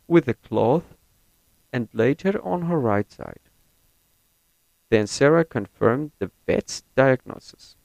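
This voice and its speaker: A young man from Syria whose first language is Kurdish reads a set passage slowly and carefully, then talks about himself. He speaks English with an American-leaning accent. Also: mid-range voice, gravelly, a little mellow, dry recording, rough recording. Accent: American-leaning non-native English. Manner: slow, careful and deliberate. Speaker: university student. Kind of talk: reading a set passage, then personal account.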